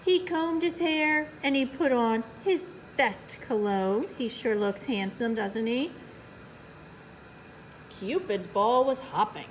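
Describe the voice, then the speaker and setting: A woman's voice: on the high side, expressive, slow, slight background noise, poor audio. A woman reading a picture book aloud for young children as a storytime host, playfully adding little asides to her listeners.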